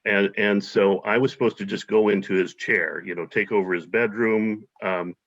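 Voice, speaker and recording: A man's voice: on the low side, fairly even intonation, normal speed, a bit bright. A man being interviewed, talking hesitantly with many fillers and restarts. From United States. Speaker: guest. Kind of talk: casual interview. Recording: dull lo-fi audio.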